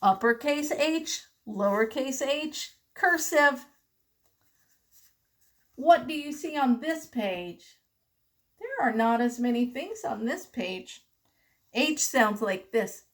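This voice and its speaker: A woman reading aloud to young children as a teacher, enunciating deliberately and prompting them with questions. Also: high-pitched, animated. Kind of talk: children's read-aloud lesson.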